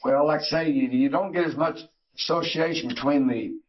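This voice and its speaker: Older man reminiscing haltingly. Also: fairly high pitch, lo-fi sound. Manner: halting, with many fillers and restarts. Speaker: interviewee, Navy veteran. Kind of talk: reminiscing interview.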